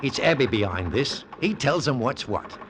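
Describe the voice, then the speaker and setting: A man's voice: medium pitch, big pitch swings, normal speed, lightly acted. A man playing a sly ship's mate. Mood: scheming. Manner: sly, drawling, conspiratorial. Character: crafty working-class male ship's mate, sly and scheming. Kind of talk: 1940s radio acting. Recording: old radio broadcast with background hiss.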